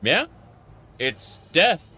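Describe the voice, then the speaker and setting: A man's voice: a little high, very animated, very slow, pushed and bright, a little hiss or hum. A man doing casual live gameplay commentary as a streamer, reacting off the cuff. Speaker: game streamer. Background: game music.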